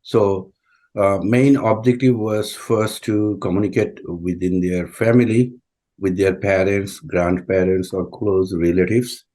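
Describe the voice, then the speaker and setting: Slow, low-voiced man. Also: steady tone, warm tone. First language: Bengali. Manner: halting, many uh fillers and restarts. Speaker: community school president, guest. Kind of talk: interview answers.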